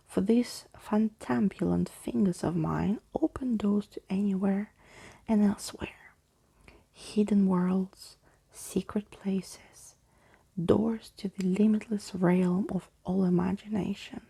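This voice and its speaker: Lady doing a grand old skeleton guy from a storybook, breathy n crackly, slow and theatrical. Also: medium pitch, dark tone, heavily acted. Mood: grandiose, mysterious. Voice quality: breathy, husky.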